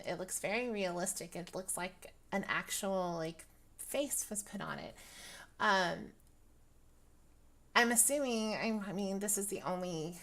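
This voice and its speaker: A young woman talks through a casual review, her voice dry and gravelly with plenty of fry. She rambles, restarts her sentences and fills the gaps with ums. Her pitch sits in an ordinary middle range. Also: a bit bright. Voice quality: raspy, dry voice. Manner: rambling, many ums, laughs often. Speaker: virtual-world fashion vlogger. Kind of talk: casual product review chat.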